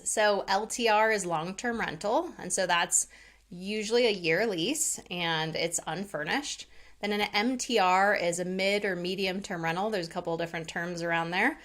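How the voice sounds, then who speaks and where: A woman's voice: mid-range voice, normal speed, fairly bright tone. Chatty, candid woman guest laughing easily. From Hawaii. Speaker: podcast guest, rental investor. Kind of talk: casual interview chat.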